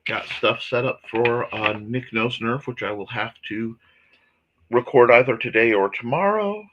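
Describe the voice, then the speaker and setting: A man hosting a casual morning livestream, his voice a bit hoarse and scratchy, talking in an apologetic, rambling way with lots of fillers. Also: mid-range voice, measured pace, lo-fi sound. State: slightly hoarse from a reaction. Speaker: host of a creative morning show.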